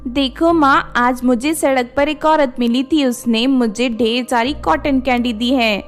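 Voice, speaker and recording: A naive, earnest college girl, played by a woman narrator, with a fairly high voice. She speaks as a storybook character over soft music. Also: fairly even intonation, unhurried. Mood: eager, proud. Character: naive college girl, eager and easily hurt.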